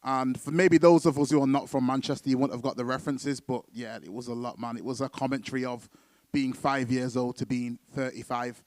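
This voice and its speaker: A man's voice: mid-range voice, fairly even intonation, a little fast, a little mellow, no echo. A man hosting a live poetry event, talking casually and hyping the audience. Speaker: event host.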